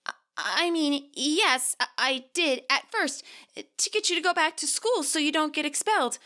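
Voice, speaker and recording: A woman's voice: high-pitched, moderate pace, bright, forceful tone, voice pushed up. A woman voicing a flustered, awkward teenage girl gang leader, stammering and hesitant, in a dramatised fanfiction reading.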